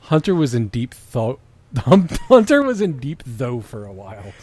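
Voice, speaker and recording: A man's voice: mid-range voice, huge pitch swings, unhurried, dark tone, breathy edge. A man hosting a comic reading of fan fiction, mixing mock-grand narration with amused asides. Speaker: podcast host.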